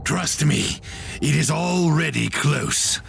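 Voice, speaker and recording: Creaky, bombastic space marine sergeant. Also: male voice, on the high side, slow pace, slight background noise, heavily acted. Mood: commanding. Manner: bombastic, declamatory. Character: zealous battle-hungry space marine sergeant, grimly proud. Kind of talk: video game voice acting. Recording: loud music behind.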